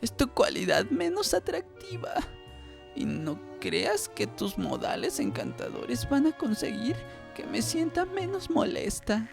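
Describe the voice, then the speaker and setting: A man's voice: extremely high pitch, huge pitch swings, measured pace, creaky, music in the background, pitched above the performer's own voice, exaggerated voice. A man voicing a stern, disapproving old grandmother in an audiobook, with a scolding, imperious manner. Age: older. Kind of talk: audiobook character voice.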